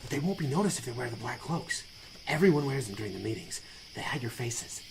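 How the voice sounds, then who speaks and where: A man's voice: mid-range voice, animated, fairly quick, lightly acted. A man playing a sly, treacherous young informant in a noir audio drama. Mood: helpful, nervous. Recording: echoey space, faint background noise.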